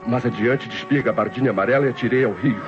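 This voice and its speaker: An actor playing a scheming, nervous nephew who narrates theatrically in an old Brazilian radio drama. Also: male voice, mid-range voice, quick pace, lightly acted. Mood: tense, scheming. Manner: theatrical, tense storytelling. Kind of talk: dramatic first-person radio narration, old-time acting. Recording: dull old radio recording with music.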